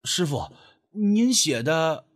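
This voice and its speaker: A man's voice: fairly high pitch, very animated, slow. A man voicing a respectful, anxious male apprentice in a deferential, hesitant way. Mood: hesitant, probing.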